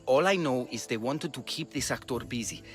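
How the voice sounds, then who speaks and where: An actor playing a nervous, submissive Greek guard in a video game, hesitant and defensive. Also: male voice, medium pitch, brisk, a bit bright, faint music behind, close to an everyday voice. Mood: nervous, cowed.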